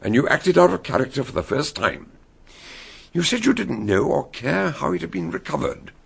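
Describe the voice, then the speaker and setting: An actor playing a shrewd male detective who calmly lays out how the crime was solved in a radio drama. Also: fairly high pitch, vocal fry, slightly rough, exaggerated voice. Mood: explaining. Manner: explaining, measured.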